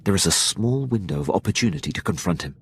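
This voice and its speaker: An actor playing a formal, dutiful imperial military captain in a video game, clipped and deferential, with a gravelly voice. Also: male voice, low-pitched, lively intonation, fast talker, full character voice. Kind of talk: video game dialogue acting.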